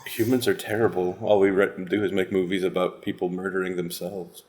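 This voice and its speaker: Male panelist with a fairly deep voice, casual and jokey, dry comic asides. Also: flat delivery, fairly quick, darkish tone. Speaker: podcast panelist. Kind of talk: casual joking chat.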